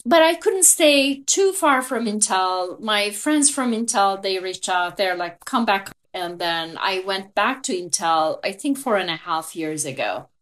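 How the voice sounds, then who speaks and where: A woman's voice: medium pitch, lively intonation, unhurried, few pauses, a bit bright. A woman in her fifties from Turkey with a Turkish accent, a guest in an interview telling her career story reflectively, with frequent small laughs.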